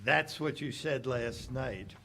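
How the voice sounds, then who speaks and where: An actor performing in 1940s radio style, playing men from a vintage broadcast with a hearty, deliberate delivery. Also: male voice, medium pitch, creaky, fairly bright tone, full character voice. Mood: bitter, guarded. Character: folksy, enthusiastic 1940s soap company spokesman; bitter, guarded cafe owner. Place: live stage performance at a public library.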